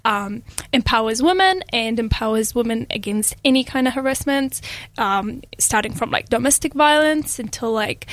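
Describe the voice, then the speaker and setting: A woman's voice: high voice, a bit bright, no room sound. Young woman guest from Iraq, dry studio sound. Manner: eager, halting with fillers. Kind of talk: casual radio interview. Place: radio studio.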